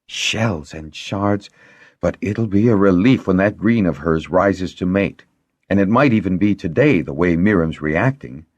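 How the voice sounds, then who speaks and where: A man voicing a brisk, no-nonsense young woman with a wry, firm manner in an audiobook. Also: mid-range voice, sing-song, darkish tone, no echo, lo-fi sound, pitched above the performer's own voice. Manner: firm, wry, matter-of-fact.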